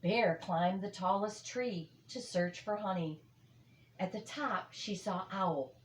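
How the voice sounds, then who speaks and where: An older woman reads a children's story aloud with expressive, emphatic phrasing in an echoey room. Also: medium pitch, takes it slowly, slight background noise. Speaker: storybook reader.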